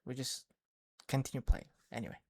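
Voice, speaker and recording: A man's voice: medium pitch, steady tone, brisk, creaky, a little hiss or hum. A man from Sweden, a fishing vlogger recapping events in a casual, rambling voice-over full of restarts. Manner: rambling, many restarts and fillers.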